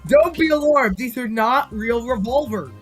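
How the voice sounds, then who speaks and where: A man's voice: very high-pitched, expressive, moderate pace, breathy edge, soft background music. A young man chatting on a fan podcast, rambling with lots of restarts. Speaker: podcast co-host. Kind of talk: casual chat.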